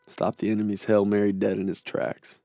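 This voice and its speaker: A man's voice: lowish voice, completely flat delivery, brisk, gravelly, mellow, no echo. A man playing a weary, earnest young American soldier, narrating reflectively in a war game. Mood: somber, reflective. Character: young American army private, weary, earnest, devoted to his brother.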